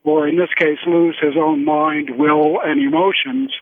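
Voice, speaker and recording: A man calling in to a radio show, musing in a casual, halting way. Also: a little high, big pitch swings, vocal fry. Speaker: call-in listener. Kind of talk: casual radio call-in chat. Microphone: phone call. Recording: thin, narrow phone line.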